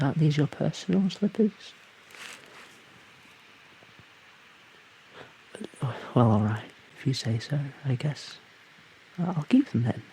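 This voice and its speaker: A man's voice: a little high, vocal fry, warm tone, pitched above the performer's own voice, rough recording. A man voicing a shy, awkward, friendly slime creature, stammering hesitantly in an audio roleplay addressed to the listener. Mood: bashful, flustered. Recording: hissy background noise.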